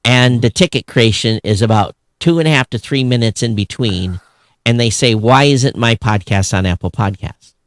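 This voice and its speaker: A man's voice: mid-range voice, normal speed, dry recording. A man with a mid-range voice talks as a podcast co-host in a casual, conversational style. He leans on points emphatically, repeating phrases for stress. The sound is dry, with very little room. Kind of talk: casual talk-show commentary on tech news.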